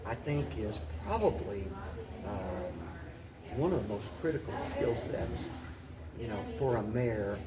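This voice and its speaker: Halting American man, crowd murmur behind. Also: lowish voice, expressive. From Paducah, Kentucky, United States. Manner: halting and deliberate, often restating phrases. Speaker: mayor and interview guest. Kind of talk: unscripted interview answers.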